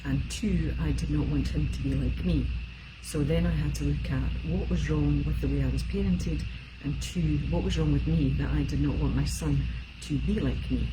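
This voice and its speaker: Low-voiced Scottish woman in her fifties. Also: steady tone, normal speed, noisy background. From Scotland. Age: 50s. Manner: chatty, rambling with many fillers. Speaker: emotional support specialist. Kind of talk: live-streamed talk.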